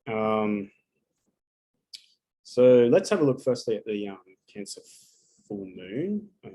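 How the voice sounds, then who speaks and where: A man's voice: mid-range voice, a little mellow, low-quality recording. A man giving a live astrology reading over a video call, talking casually and bluntly and swearing often.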